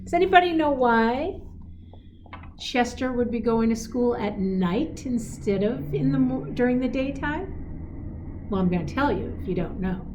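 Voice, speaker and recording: A woman reading a picture book aloud to children in a deliberate, expressive, teacherly way, adding simple explanatory asides. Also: a little high, lo-fi sound, noisy background.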